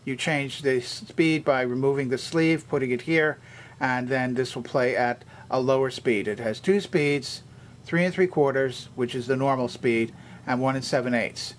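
A man's voice: medium pitch, moderate pace, a little hiss or hum. An older man giving a methodical, hands-on explanatory tour as a repairer demonstrating equipment. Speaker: vintage electronics repairer and demonstrator.

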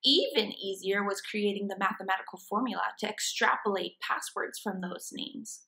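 Woman voicing a pompous hamster supervillain, forceful and bright. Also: mid-range voice, normal speed, gravelly, low-quality recording. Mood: gloating. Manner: grandiose villainous monologuing. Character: small evil genius hamster mastermind, pompous and scheming. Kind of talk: dramatic comic-book read-aloud.

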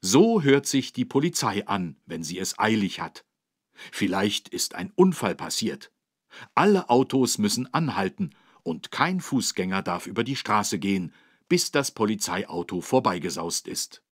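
A friendly policeman teaching children in a cartoon game. The voice is low, husky and dry, explaining simply and helpfully. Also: big pitch swings, a little slow, no room sound. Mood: instructive. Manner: didactic, clearly phrased for small children.

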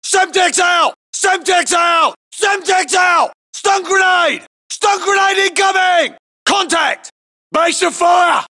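An actor playing a tough British SAS soldier in a military shooter game, yelling urgent, clipped battle callouts. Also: male voice, very high voice, takes it slowly, full character voice. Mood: urgent, shouting.

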